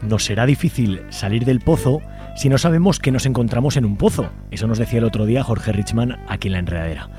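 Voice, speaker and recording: A man's voice: mid-range voice, fast talker, warm tone, faint music behind. A man with a Spanish accent from Spain co-hosting a radio show in a scripted presenting style. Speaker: radio co-host.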